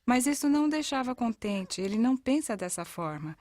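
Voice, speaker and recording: A woman's voice: on the high side, sing-song, brisk, darkish tone, no echo. A woman with a Brazilian accent reading a scripted narration for an educational video.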